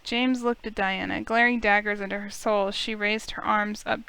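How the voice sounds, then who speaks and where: A woman reading a visual novel aloud as an expressive, dramatic narrator. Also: medium pitch, moderate pace, creaky, clear, resonant tone. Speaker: let's play streamer. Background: music in the background.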